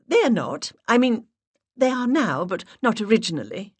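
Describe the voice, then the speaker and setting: A woman narrator voicing a giggly woman who puts on a high, ditzy, exaggerated English-accented act. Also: very animated, moderate pace, slightly rough, no echo. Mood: gushing, coy. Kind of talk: audiobook character voice.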